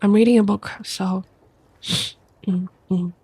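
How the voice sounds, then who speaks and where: A young woman with a stuffy nose from a cold, reading a book aloud softly as an ASMR streamer into a close microphone. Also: medium pitch, steady tone, slightly rough, no room sound. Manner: soft, quiet, unhurried reading voice. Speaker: VTuber streamer.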